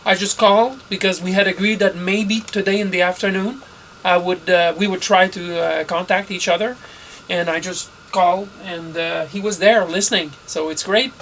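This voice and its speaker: A man's voice: high-pitched, steady tone, moderate pace, a bit bright, slight background noise, low-quality recording. A middle-aged man from Canada narrating a hobby project vlog as an amateur radio operator.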